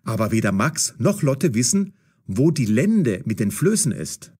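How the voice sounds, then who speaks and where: A man narrates a scripted story. His voice is middling in pitch and husky. He tells it with animated, wide-ranging intonation. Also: unhurried.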